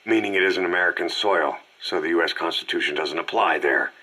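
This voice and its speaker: A man playing a terse, stoic veteran commander with a gravelly, raspy voice in a game drama. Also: low voice, brisk, sharp tone, low-quality recording. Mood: grim.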